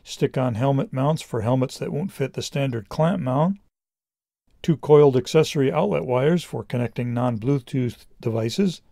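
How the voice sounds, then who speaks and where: A middle-aged man reading a scripted product review in a measured, explanatory way. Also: medium pitch, creaky, dark tone, no echo, studio quality.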